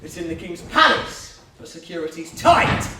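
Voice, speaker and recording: A man's voice: a little high, very animated, moderate pace, a little hiss or hum, exaggerated voice. A man playing a devious, boastful old crone, a gleefully wicked, hectoring pantomime villainess on stage. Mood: gleefully scheming. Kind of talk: theatrical pantomime rhyme and song. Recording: roomy stage sound with loud music.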